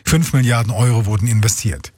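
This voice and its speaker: A man reading scripted radio news as an anchor, in a factual, measured manner on a close studio microphone. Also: on the low side, darkish tone, husky, no echo.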